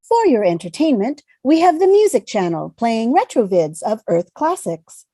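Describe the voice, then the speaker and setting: A woman's voice: fairly high pitch, big pitch swings, normal speed, warm tone, no room sound, clean studio sound. A woman playing a polite space-shuttle hostess reciting scripted announcements in a fan table read. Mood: formal, announcing. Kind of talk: fan table read over video call.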